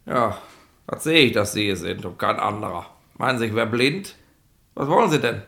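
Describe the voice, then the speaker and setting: An actor playing a gruff, officious police inspector in a 1960s radio play, pedantic and sarcastic in colloquial bureaucratic bluster. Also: male voice, on the low side, expressive, normal speed, sharp tone, exaggerated voice. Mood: impatient, snappish.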